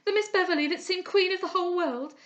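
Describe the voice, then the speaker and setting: A woman voicing a frantic young woman who wails high and tearful in grief. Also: fast, a bit bright, lo-fi sound. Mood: sobbing.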